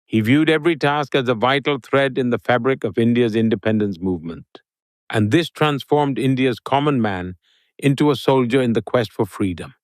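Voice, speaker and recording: A man giving an interview guest's answers in English, with a steady, formal delivery like a prepared speech. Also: mid-range voice, normal speed, no echo.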